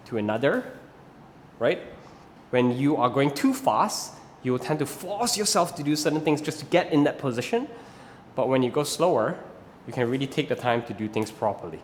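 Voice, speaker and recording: Malaysian-accented man, thirties, rope flow instructor, patient explanatory tone, long reverb, faint background hiss. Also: mid-range voice. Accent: Malaysian English. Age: 30s. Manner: patient, explanatory, often ends points with "right?". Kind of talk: instructional video talk.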